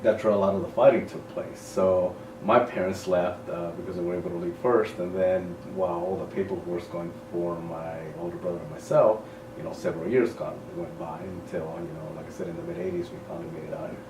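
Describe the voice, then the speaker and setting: A man in his forties, born in El Salvador, a high school principal talking in a casual interview in a measured, thoughtful way that trails off softly at the ends of thoughts. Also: fairly even intonation. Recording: echoey room, mic at a distance, faint noise.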